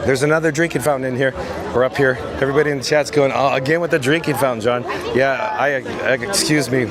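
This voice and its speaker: A hoarse man hosting a live walking vlog outdoors, coughing now and then and reading viewer comments aloud. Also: mid-range voice, steady tone, fast talker. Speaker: vlogger host. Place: outdoors at a busy theme park. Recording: loud crowd and outdoor noise. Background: crowd chatter.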